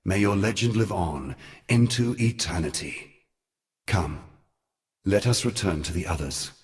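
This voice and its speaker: An actor playing a grave, noble god who guides mortals, speaking in a solemn, measured, lofty way for a fantasy game. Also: male voice, low-pitched, animated. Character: grave, noble brother god, guiding mentor of exiles. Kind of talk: dramatic fantasy game voice acting.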